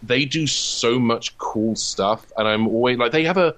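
A man's voice: medium pitch, a little slow, fairly bright tone. A man talking casually and enthusiastically as an interview guest, often wandering from one thought to the next. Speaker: interview guest, comedy writer and audio drama producer.

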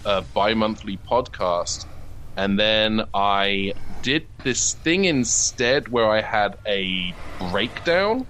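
A man's voice: lowish voice, lively intonation, slow pace, a bit bright, a little hiss or hum. A man, chatting casually as an interview guest. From United Kingdom. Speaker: guest, comedian and writer.

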